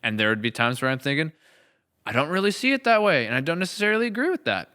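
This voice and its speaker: A man's voice: fairly high pitch, big pitch swings, quick pace, pushed and bright, smooth. A man co-hosting a podcast, chatting casually and candidly and reflecting on his own thinking. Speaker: podcast co-host, series creator and writer.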